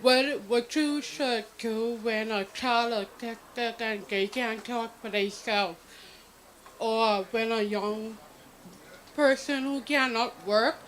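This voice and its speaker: Hesitant slow-talking woman. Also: on the high side, fairly even intonation, fairly bright tone, slight background noise, low-quality recording. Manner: hesitant, restarts words. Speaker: audience member asking a question. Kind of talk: halting question.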